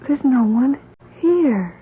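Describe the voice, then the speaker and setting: Slow, desperate grieving mother. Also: high-pitched, expressive. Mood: dazed, subdued. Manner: fragile, halting, desperate. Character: grief-stricken, pleading wife and bereaved mother. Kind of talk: old-time radio melodrama acting. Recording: muffled, noisy vintage radio recording.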